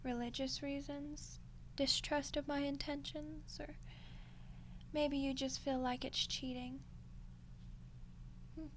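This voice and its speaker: A woman playing a smug, condescending female archdemon in a soft-spoken ASMR roleplay, unhurried and menacingly polite. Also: high voice, little pitch movement, slight background noise. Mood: smug, dismissive. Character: ancient female archdemon, smug, coolly seductive deal-maker.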